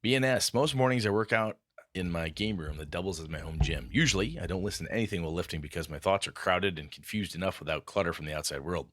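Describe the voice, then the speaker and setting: Deep-voiced jokey podcast co-host. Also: male voice, quick pace, background noise. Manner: jokey, reads letters aloud with quoted voices. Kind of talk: casual chat, reading listener mail.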